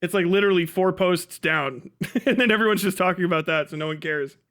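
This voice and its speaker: A man's voice: high voice, fairly quick, background noise. A man doing casual livestream commentary, swearing and muttering to himself at a close mic. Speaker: livestream host reacting to a video.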